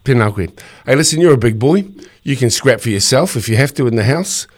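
A man's voice: medium pitch, expressive, brisk. A man hosting a talk radio interview, asking probing questions in a conversational way. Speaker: radio host.

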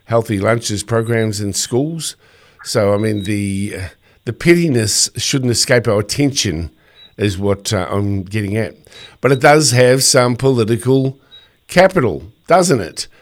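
A man hosts a talk radio interview in a slightly low voice. He asks probing questions in an easy, conversational way. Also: lively intonation, a little slow. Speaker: radio host.

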